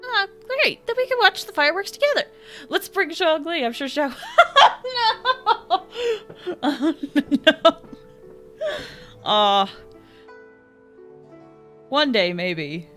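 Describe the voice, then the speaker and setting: A woman doing casual, chatty livestream commentary as she plays a game, with an excitable manner. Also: extremely high pitch, takes it slowly, pauses often, faint music behind. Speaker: game streamer.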